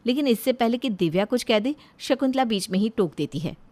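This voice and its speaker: A woman's voice: fairly high pitch, animated, a little fast, darkish tone. A woman narrating a scripted family story.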